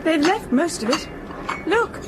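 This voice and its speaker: An actress playing a flustered, polite and apologetic air stewardess in a radio drama. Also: female voice, very high voice, animated, unhurried. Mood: weary, chatty. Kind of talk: broadcast radio drama acting. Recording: noisy aircraft cabin ambience, background chatter.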